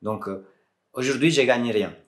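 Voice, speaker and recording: A man's voice: medium pitch, normal speed, a bit bright. A man from Italy speaking French with an Italian accent, not his native language, chatting casually to camera as a tech YouTuber, friendly and joking with hesitations.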